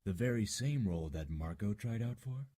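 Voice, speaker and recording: A man's voice: lowish voice, expressive, moderate pace, dark tone. A man playing a blunt, pressing, world-weary police detective in the style of a 1940s radio drama.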